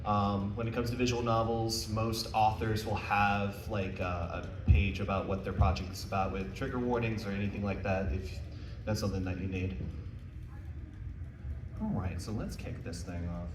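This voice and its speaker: Low-voiced American man presenting in a roomy space. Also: steady tone, normal speed. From United States. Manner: casual, self-deprecating, jokey asides. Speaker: convention panel presenter. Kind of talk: informal presentation to a live audience. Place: indoor convention panel room. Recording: roomy, distant mic, faint noise.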